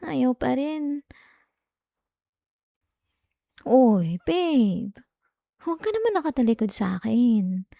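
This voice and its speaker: Clingy, jealous girlfriend played by a woman, soft and mellow. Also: on the high side, no echo, clean studio sound. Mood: pouting, hurt. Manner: coaxing, whiny, with sighs and hesitations. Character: clingy, jealous young girlfriend, pleading and pouting. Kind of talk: intimate ASMR girlfriend roleplay. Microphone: close, dry microphone.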